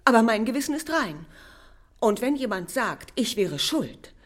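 A proud Victorian household cook speaks in a high, lively swinging voice. She is emphatic and self-righteous, always on the defensive, in radio drama style. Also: unhurried. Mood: uneasy, defensive. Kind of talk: German radio crime drama acting.

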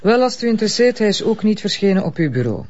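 A sharp Flemish prosecutor in a TV drama. Her husky voice is sceptical and varies widely in pitch. The sound is slightly muffled. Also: sing-song, fast. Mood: reproachful. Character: sharp, busy female prosecutor and exasperated wife. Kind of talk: naturalistic TV crime drama dialogue.

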